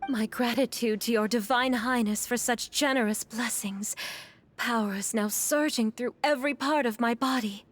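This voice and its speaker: A woman voicing a polite, gentle young female adventurer in a video game, speaking in formal, courteous phrases. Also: on the high side, normal speed, a little hiss or hum, lightly acted.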